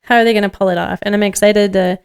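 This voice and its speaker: Clear-voiced woman co-hosting a podcast. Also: mid-range voice, fairly even intonation, fast talker. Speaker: podcast co-host. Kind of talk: casual chat with read-out news items.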